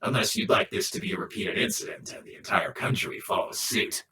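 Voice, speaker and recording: A man voicing a calm, persuasive figure offering a weighty choice in a game cutscene, speaking in measured, hushed phrases. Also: on the low side. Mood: persuasive, grave. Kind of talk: slow, dramatic game cutscene dialogue. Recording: distant, roomy, faint background noise.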